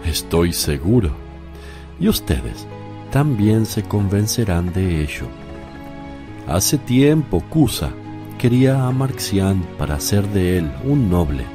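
Man playing gentle male teacher, religious radio play, unhurried, expressive intonation, solemn reassurance. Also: low-pitched, over music, exaggerated voice. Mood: reassuring. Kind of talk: religious radio drama acting.

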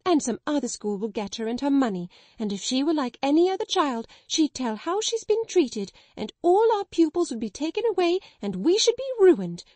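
A woman's voice: animated, moderate pace, dark tone, dry recording. A woman voicing a timid middle-aged spinster schoolmistress who breaks into tearful, hysterical accusations. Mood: hysterical, accusing. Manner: tearful, pleading, shrill outbursts.

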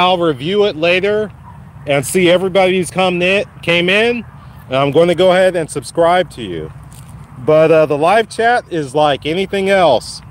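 Slightly raspy man live streaming outdoors. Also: high voice, a little hiss or hum. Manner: encouraging, repetitive, addresses viewers by name. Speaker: channel host. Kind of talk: casual live stream chat. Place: outdoors on a lawn walking a dog. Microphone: phone held while walking.

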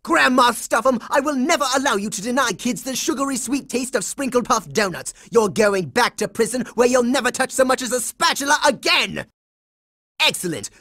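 A man's voice: extremely high pitch, a bit bright, full character voice. Cartoon game kid hero, bossy boy agent, smug and dramatic, voice swings all over the place. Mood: defiant, commanding. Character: bossy, heroic boy secret agent, confident kid leader. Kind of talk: cartoon video game voice acting.

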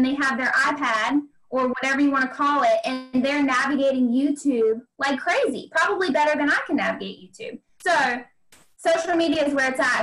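A woman's voice: high voice, a bit bright, low-quality recording. A woman in her late twenties giving a casual, chatty business training talk, frank and full of "um" and "like". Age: 20s.

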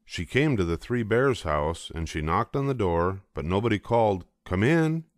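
Older man, very low voice, storybook narration, dry close sound. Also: expressive, moderate pace, dry recording. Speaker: storybook reader. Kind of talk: scripted storybook narration for children.

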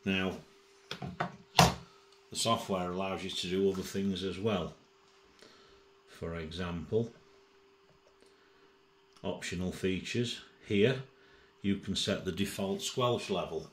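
Deep-voiced man, slow and methodical. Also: fairly even intonation, reverberant, a little hiss or hum. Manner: methodical, thinking aloud. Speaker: tutorial presenter. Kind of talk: informal spoken software demonstration. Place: indoors at a computer desk.

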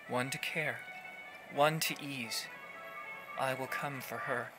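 An actor playing a shy, awkward young 1940s poet with a halting, hesitant manner in an audio drama. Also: male voice, mid-range voice, gravelly, bright, forceful tone, soft background music, exaggerated voice. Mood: brooding recitation. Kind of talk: period horror audio drama acting.

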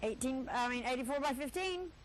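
A woman's voice: high-pitched, a little fast. A woman in her thirties hosting a live local TV auction from a cluttered stockroom, showing items one by one and joking with the crew. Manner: chatty, joking asides to the crew. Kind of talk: live cable TV sales patter.

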